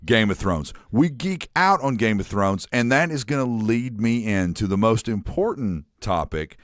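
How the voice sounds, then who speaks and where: A man's voice: on the low side, expressive, normal speed, dry recording, studio quality. A young man hosting a casual podcast chat, joking and rambling as he tells stories. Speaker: podcast host.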